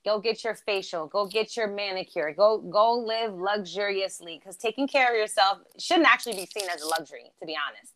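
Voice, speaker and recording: German-born woman w/ east coast american accent, chatty and upbeat, mid-pitched voice, talks like she's hyping you up. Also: brisk, few pauses, fairly bright tone. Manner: upbeat, chatty, laughs often, motivational. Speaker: interview guest. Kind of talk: casual interview chat.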